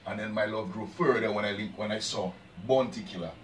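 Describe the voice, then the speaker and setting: A man's voice: on the low side, a little fast, a little hiss or hum. A man from Dominica with a Jamaican-influenced Caribbean accent, a dancehall artist talking casually in an interview and often asking "you understand?". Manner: laid-back, repeats "you understand", dialect speech. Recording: roomy sound, distant mic, faint ambience.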